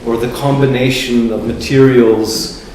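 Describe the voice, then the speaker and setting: A man gives a talk to visitors in a large gallery, his voice bouncing around a very echoey room. He is picked up from some distance, with steady ambient noise underneath. His delivery is measured and reflective. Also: mid-range voice. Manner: measured, reflective, searching for words. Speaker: curator hosting a gallery talk. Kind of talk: public talk introducing an artist.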